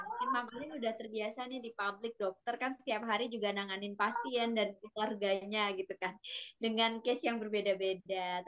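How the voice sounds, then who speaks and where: A woman's voice: on the high side, fairly bright tone. A woman coaching a webinar class over a video call, chatty and encouraging, with many filler tags. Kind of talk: casual online class chat.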